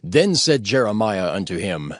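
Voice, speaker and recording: A man narrating scripture from a script in a formal, measured storytelling manner. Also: on the low side, sing-song, dry recording, clean studio sound.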